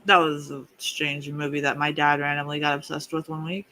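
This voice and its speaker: A livestream host running a music reaction panel in a relaxed, rambling way. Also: medium pitch, a little fast. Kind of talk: casual chat.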